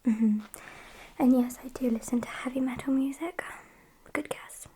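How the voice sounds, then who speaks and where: A British woman playing a sassy, morbid gothic vampire kidnapper in a whispered ASMR roleplay, soft, unhurried and deadpan. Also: high-pitched, a little hiss or hum, natural voice. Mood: chatty, smug. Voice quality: breathy whisper.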